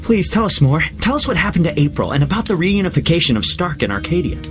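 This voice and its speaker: A man's voice: a little high, sing-song, fast, music in the background. An actor playing a polite, eager young male listener in a video game.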